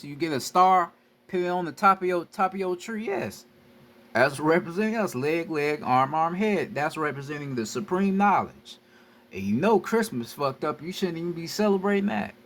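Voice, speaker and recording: High-pitched man preaching with profanity. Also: animated, moderate pace, fairly bright tone, a little hiss or hum. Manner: profane, repetitive, emphatic. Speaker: spiritual teacher. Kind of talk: casual street-style lecture.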